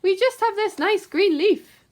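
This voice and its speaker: A little woodland creature from a picture book acted by a woman. The voice sits far up high and pleads meekly. It sounds small and timid. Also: lively intonation, measured pace. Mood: timid, pleading. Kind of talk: rhyming picture-book read-aloud.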